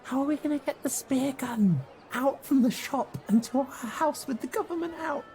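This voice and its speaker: A man's voice: very high-pitched, moderate pace, a little mellow, slight background noise. Anxious-sounding man with a darker tone. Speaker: player in a tabletop role-playing game. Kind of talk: improvised in-character chat.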